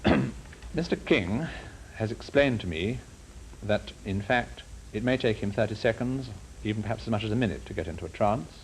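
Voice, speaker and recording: Measured low-voiced male interviewer, noisy old broadcast. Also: animated. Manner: measured, deliberate, probing. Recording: noisy 1950s broadcast recording.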